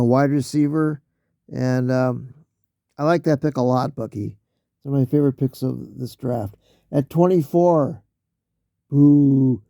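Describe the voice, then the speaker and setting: A man losing his voice, talking casually through a solo mock draft, halting with fillers and often clearing his throat. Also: mid-range voice, dark tone, no room sound, clean studio sound.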